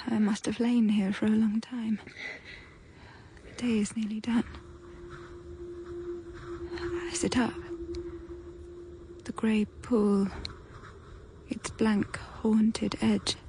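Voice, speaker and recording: A haunted young governess in a gothic audio drama, telling her tale in a fairly high, slow voice. She halts between phrases and often sinks to a breathy whisper, over faint background noise. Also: flat delivery, dark tone. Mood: dazed grief. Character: haunted governess narrating her own memory.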